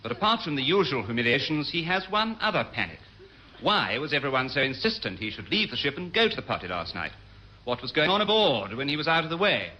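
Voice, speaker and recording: A man's voice: on the high side, sing-song, fast talker, bright, forceful tone, slight background noise, low-quality recording. A British man giving arch, tongue-in-cheek scripted narration in an old radio comedy style.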